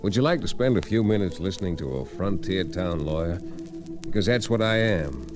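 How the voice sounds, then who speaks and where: Low-voiced folksy frontier lawyer storyteller. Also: male voice, animated, fairly quick. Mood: folksy, wry. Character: frontier town lawyer and troubleshooter, wry Western storyteller. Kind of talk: 1940s radio Western narration. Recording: vintage radio recording with music.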